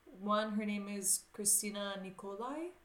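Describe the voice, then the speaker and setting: Excited woman host, says "like" a ton, kinda echoey room. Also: mid-range voice, a little slow. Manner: enthusiastic, filler-heavy, upbeat. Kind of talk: casual chat. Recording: roomy echo.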